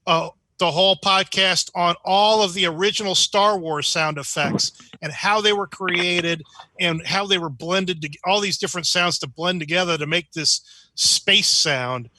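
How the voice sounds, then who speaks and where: A man's voice: high voice, a little slow, pushed and bright, dry recording. A man chatting casually on an online group meeting, rambling and rephrasing as he goes. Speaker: club member.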